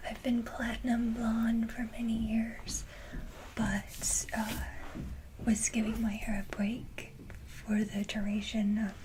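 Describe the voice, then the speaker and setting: A woman whispering breathily in a casual ASMR style, speaking to viewers in a gentle, halting manner. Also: a little high, completely flat delivery. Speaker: ASMR video creator. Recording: clearly noisy, hissy background.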